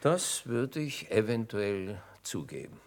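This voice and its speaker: An actor playing a down-at-heel, dignified army chaplain in a German radio play, with solemn, theatrical emphasis. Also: male voice, lowish voice, big pitch swings, takes it slowly, a little mellow. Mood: prickly.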